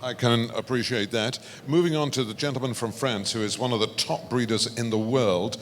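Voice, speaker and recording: A man's voice: medium pitch, normal speed, slight background noise. A man moderating a panel, posing questions and introducing speakers in a polished, formal way. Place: large conference hall. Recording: reverberant conference hall.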